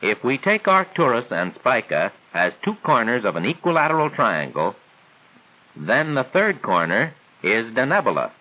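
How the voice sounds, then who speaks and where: 1940s training film narrator, tinny old soundtrack. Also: male voice, mid-range voice, very animated. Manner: formal, didactic, measured phrasing. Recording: thin, band-limited 1940s film soundtrack.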